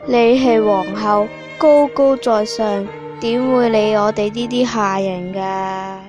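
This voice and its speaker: A woman's voice: mid-range voice, fairly even intonation, takes it slowly, over music, natural voice. A woman playing a desperate, bossy, starving petty thief in a stage play, with a plaintive delivery. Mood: pleading.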